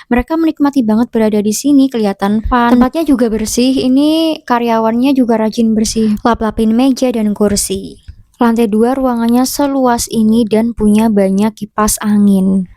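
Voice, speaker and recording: A woman vlogging in a casual, chatty way, with a slightly hoarse voice and a somewhat stiff, blunt delivery. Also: a little high, warm tone, no room sound. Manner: chatty and self-deprecating, sounds a bit stiff and blunt. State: hoarse from a long sore throat. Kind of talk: casual vlog narration to viewers.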